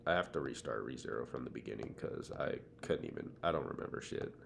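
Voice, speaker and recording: A young man with a deep voice chats as a podcast co-host. His delivery is informal, full of slang and swearing. A slight noise sits behind him on the recording. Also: quick pace, gravelly. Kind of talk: casual TV and anime chat. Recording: faint background noise.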